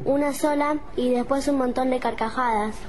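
A woman narrating a children's radio play in an expressive storytelling manner. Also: high-pitched, moderate pace, very noisy. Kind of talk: storybook reading.

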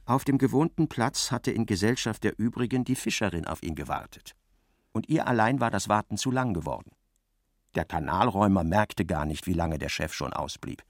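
A man narrating a literary radio drama as a wry, intense storyteller, expressive and precise, with a creaky voice. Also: fairly low pitch, normal speed, no echo, studio quality. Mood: dry, dramatic.

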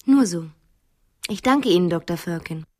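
An actress playing a restless, quick-witted wife who bluffs her way along, cool and sardonic, in a radio play. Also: lowish voice, animated. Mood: cool bluffing. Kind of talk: 1990s German radio play acting.

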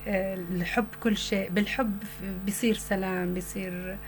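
A middle-aged woman from Syria with a rural Syrian accent, a guest in a radio interview who talks haltingly and earnestly, searching for words. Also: mid-range voice, steady tone, slight background noise. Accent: rural Syrian Arabic.

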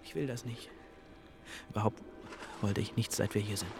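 Radio drama part: embittered, short-fused man actor, lowish gravelly voice, terse phrasing. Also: moderate pace, lightly acted. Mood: subdued. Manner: terse, volatile. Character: volatile, embittered man, quick to rage. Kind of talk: stylized radio play acting. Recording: studio radio drama, faint music.

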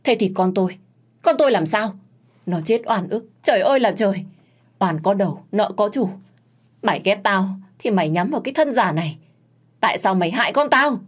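A woman's voice: medium pitch, lively intonation, brisk, pitched above the performer's own voice, lightly acted. A woman voicing a grieving middle-aged mother who wails and hurls accusations in a storybook reading. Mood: wailing rage. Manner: wailing, shrieking accusations.

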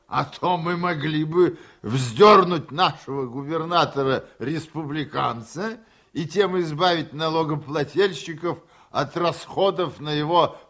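An elderly politician giving a speech in a radio drama. He drags his words slowly and repeats himself, swinging widely from ringing oratory to sentimental murmurs. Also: on the high side, a bit bright, full character voice. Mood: jovial, sardonic. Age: 70s. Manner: halting, repetitive, theatrical oratory. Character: elderly tipsy senator, sentimental, rambling banquet orator. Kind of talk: Soviet radio drama acting, speech-making.

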